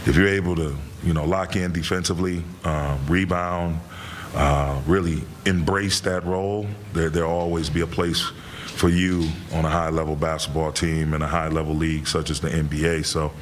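A middle-aged man, a head coach, answering reporters' questions after a game in a measured way, with many fillers and repeated words. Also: very deep voice, slight background noise. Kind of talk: postgame press conference answers. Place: press room in front of a sponsor backdrop.

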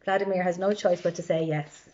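A woman reading aloud to children in an expressive way, adding chatty asides as a storytime librarian. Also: on the low side, fairly quick, muffled recording. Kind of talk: animated children's read-aloud.

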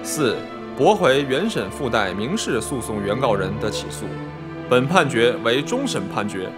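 An actor playing a male judge, formally reading out a verdict in a measured, solemn, declamatory way. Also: medium pitch, big pitch swings, loud music behind the voice, lightly acted.

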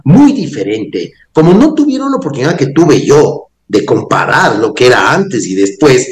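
Guy mocking politicians, lively up-and-down voice, echoey webcam room. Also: a little high. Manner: sarcastic, crude, insulting. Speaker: political analyst guest. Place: home office, indoors. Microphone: webcam on a video call.

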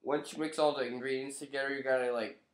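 A man's voice: medium pitch, little pitch movement, fast talker, reverberant. A young man with a stuffy, nasal voice from a cold, talking loosely and with some swearing to a webcam in his living room. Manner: loose, profane, offhand. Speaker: vlogger. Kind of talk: casual commentary to camera.

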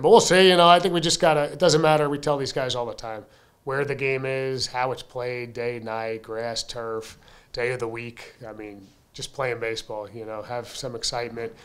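A man's voice: mid-range voice, clean tone. A man in his thirties, a baseball coach answering questions at a postgame press conference, talking candidly with lots of fillers and bursts of emphasis into a desk mic. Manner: candid, conversational, frequent fillers, energetic emphasis. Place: press room in front of a sponsor backdrop. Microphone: desk mic on a stand.

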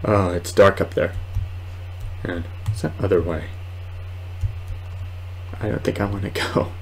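Low-voiced young man, slow gaming chatter. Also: steady tone. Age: 20s. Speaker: gamer, video host. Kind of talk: casual let's play commentary. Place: small room at home, indoors. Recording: clearly noisy home recording.

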